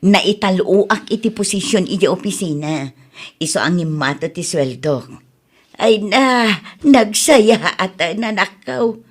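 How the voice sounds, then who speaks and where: A woman's voice: medium pitch, lively intonation, a little slow, breathy edge. A woman with an Ilocano accent acting a concerned family member in an audio drama. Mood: warm.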